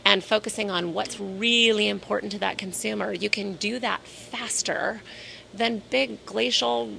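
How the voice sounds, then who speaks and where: A hoarse woman speaking in an interview at a conference, talking in a measured, businesslike way and slowing down to stress her points. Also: medium pitch, fairly even intonation, sharp tone, low-quality recording. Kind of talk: casual interview. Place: at a conference in New York City.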